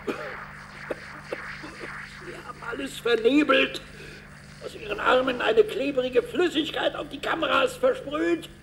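A horrified spaceship commander in a science-fiction radio play. His voice is very high and slow, breaking into agitated exclamations. The old recording has clear background noise. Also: steady tone, fairly bright tone, full character voice, poor audio. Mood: shaken. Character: horrified male starship commander, a father facing alien monsters. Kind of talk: German science-fiction radio drama acting.

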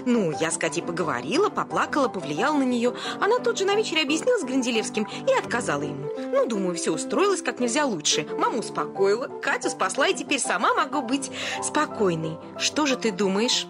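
Radio play as a breezy, oblivious young wife, gossipy, very animated and high, music behind. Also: female voice, a little fast. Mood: gushing, chatty. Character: chattering, self-satisfied young society wife, gossipy and oblivious.

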